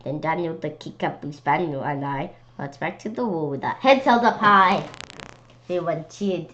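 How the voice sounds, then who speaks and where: A woman with a lowish voice reads a storybook aloud. She goes haltingly, tripping on words and repeating them, and drops in casual asides. The recording is slightly dull and lo-fi. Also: fairly bright tone, slight background noise. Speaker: read-aloud presenter.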